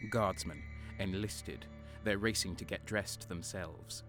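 A man's voice: deep voice, takes it slowly, a bit bright, faint music behind. A man playing a cynical, guarded wounded guardsman sergeant, narrating a sci-fi war audio drama in a sardonic, brooding way. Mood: dry, defiant.

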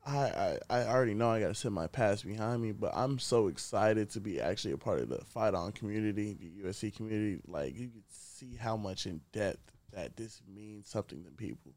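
A man's voice: fairly low pitch, animated, fairly quick, a little mellow, no echo. A nineteen-year-old man, an athlete chatting casually and informally as a podcast guest. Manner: slangy, informal. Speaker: podcast guest, college football wide receiver. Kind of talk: casual chat. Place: inside a truck.

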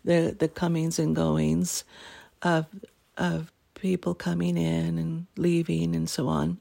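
A middle-aged woman from Canada, hosting a podcast and telling a family story in casual chat, clearing her throat as she loses her voice. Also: low voice, monotone, slow pace, warm tone. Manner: laughing, self-deprecating jokes. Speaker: podcast host.

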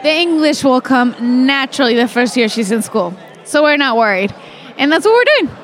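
A woman's voice: high-pitched, very animated, normal speed, a bit bright, a faint crowd. A woman in her thirties, chatting as a guest on a talk show and laughing often in a frank, playful way. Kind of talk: casual chat.

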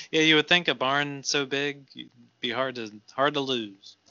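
A man co-hosting a comedy podcast, dry and playful, quick to laugh. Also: medium pitch, pushed and bright, clear, resonant tone, no room sound, lo-fi sound. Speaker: podcast co-host. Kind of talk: comedic casual chat.

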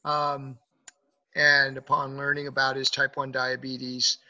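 A man giving an unscripted podcast introduction as host, with halting, drawn-out phrasing. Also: mid-range voice, monotone, bright, forceful tone, dull audio.

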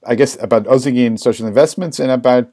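A man's voice: mid-range voice, fast, mellow. A man hosting a podcast interview, upbeat and welcoming as he introduces his guest. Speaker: podcast host.

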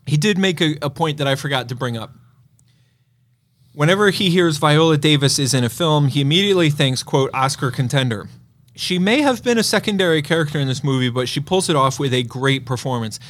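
Higher-voiced man chatting about movies. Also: expressive, a little fast, fairly bright tone. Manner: joking, playfully exaggerating. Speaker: podcast co-host; movie theater operator. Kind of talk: casual movie chat.

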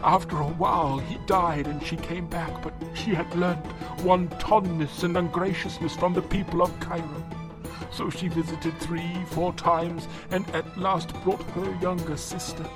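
Man acting grieving old official, high pitch, formal halting speech, audio drama, music underneath. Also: fairly even intonation. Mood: sorrowful, confiding. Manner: formal, halting, archaic phrasing.

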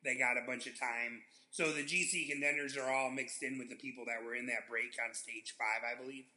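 Podcast co-host, man with a sharp bright nasal voice, joking, halting delivery. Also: mid-range voice, fairly even intonation. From Southeast United States. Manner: rambling, halting with restarts and joking asides. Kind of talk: casual sports chat.

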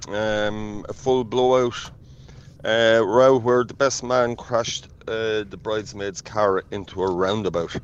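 An Irish man, middle-aged or older, with a deep voice tells casual anecdotes. It is a dull, lo-fi phone voice note left as a listener message. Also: fairly even intonation, slow pace, smooth. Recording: muffled lo-fi voice note.

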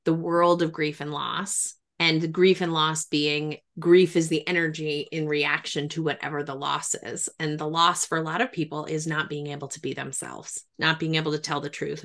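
A woman with a slightly low voice speaks as a podcast interviewer. She is earnest and explanatory, laying out her thoughts with emphasis in a reflective conversational style. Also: level tone, moderate pace, a bit bright. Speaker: podcast interviewer, grief professional.

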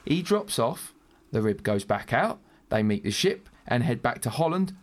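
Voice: medium pitch, big pitch swings, moderate pace. An actor playing a naive, nervous smuggler, earnest and rambling, in an audio drama. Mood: businesslike. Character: nerdy, nervous, naive smuggler, keen on birds and shells.

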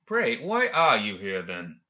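A man's voice: medium pitch, big pitch swings, moderate pace, sharp tone, lo-fi sound. A man voicing a smug, always-controlled professor villain in an audiobook, speaking with formal, mocking courtesy. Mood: politely mocking. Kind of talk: audiobook character acting.

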